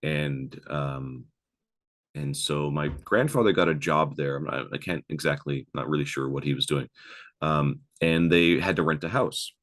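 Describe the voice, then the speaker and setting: A man from Newfoundland with a soft Newfoundland accent and a trained, projected podcast voice, telling a family ghost story. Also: extremely low pitch, a little slow. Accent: Newfoundland, softened by voice training. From Newfoundland, Canada. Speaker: podcast host. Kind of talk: casual podcast chat and storytelling.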